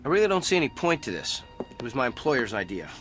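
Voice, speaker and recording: A man's voice: medium pitch, sing-song, fast talker, fairly bright tone, faint music behind. An actor playing a troubled, sardonic company man in therapy in an audio drama, with a curt, defensive delivery.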